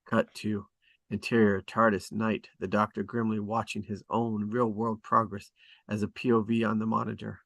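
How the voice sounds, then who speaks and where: A man reading screenplay stage directions as scripted narration, with deliberate dramatic emphasis. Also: on the low side, little pitch movement, creaky, fairly bright tone, no echo. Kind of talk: scripted narration at a table read.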